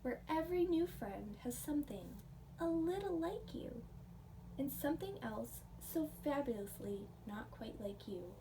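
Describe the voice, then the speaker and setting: A woman reading a picture book aloud to children and chatting about it in a gentle, expressive, questioning way. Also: sits high, measured pace. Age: adult. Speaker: children's storybook reader, teacher. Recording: distant mic with faint background noise.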